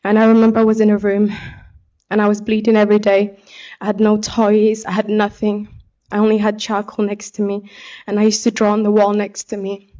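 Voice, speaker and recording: A young woman from Somalia giving a personal testimony speech as an activist, speaking in an earnest, deliberate way. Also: medium pitch, warm tone, muffled recording. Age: young adult. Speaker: pageant contestant and activist speaker.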